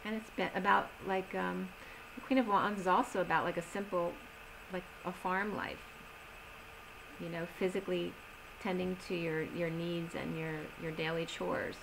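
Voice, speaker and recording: Lady doing a tarot reading, soothing and unhurried, voice going a little hoarse, hissy recording. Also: lowish voice, steady tone. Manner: slow, soothing reading of oracle cards with gentle pauses. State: losing her voice. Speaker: tarot reader. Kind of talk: solo tarot reading video.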